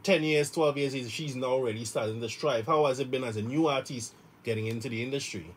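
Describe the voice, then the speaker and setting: A man's voice: medium pitch, brisk, a little hiss or hum. A man, hosting a radio show from the studio, upbeat and friendly, often repeating his words. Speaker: radio host. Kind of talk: casual radio interview.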